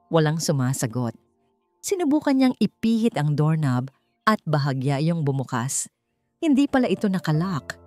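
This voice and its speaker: Casting: female storyteller, lowish pitch, dramatic scripted romance narration over a music bed. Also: very animated, moderate pace, warm tone, no echo.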